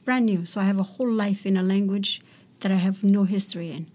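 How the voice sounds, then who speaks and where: A middle-aged woman from Romania, a poet reading her work and answering interview questions in a thoughtful, measured way. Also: mid-range voice, steady tone, a little mellow. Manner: thoughtful, measured, searching for words.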